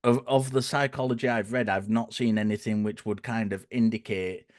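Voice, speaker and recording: A man with a croaky, dry voice, explaining his reasoning in a thoughtful way and often restarting his phrases. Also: lowish voice, fairly even intonation, normal speed, dry recording. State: hoarse, croaky. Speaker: guest speaker. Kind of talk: conversational explanation.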